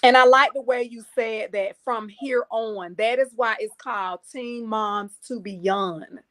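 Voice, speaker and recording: A woman's voice: a little high, unhurried, fairly bright tone. A woman guest on a podcast, speaking emphatically and stacking phrases for effect. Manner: emphatic, building lists for effect. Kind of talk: casual interview chat.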